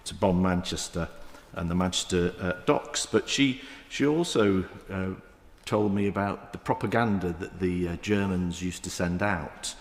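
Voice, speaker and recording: A man in his fifties with a Manchester accent, giving a halting parliamentary debate speech with many fillers and restarts. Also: low-pitched. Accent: Mancunian. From England. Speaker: member of the House of Lords. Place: large echoing debating chamber. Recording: roomy chamber with long reverb.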